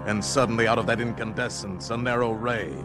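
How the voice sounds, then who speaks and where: An actor playing a grave, awestruck narrator in a radio drama, speaking solemnly and deliberately with dramatic pauses. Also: male voice, mid-range voice, steady tone, over music, lightly acted. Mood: ominous. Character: grave, awestruck male narrator of an alien landing.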